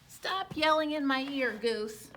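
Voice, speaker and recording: A woman plays a bossy storybook drake with a high, bright voice. He is excitable and possessive, scolding and squawking his words. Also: slow pace, slight background noise, full character voice. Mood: complaining.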